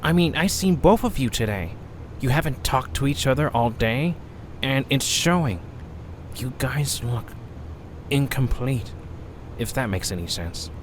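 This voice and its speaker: A man voicing a small, clumsy male creature with a propeller, speaking softly and earnestly in an amateur audio drama. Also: medium pitch, animated, unhurried, slight background noise, lightly acted. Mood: coaxing.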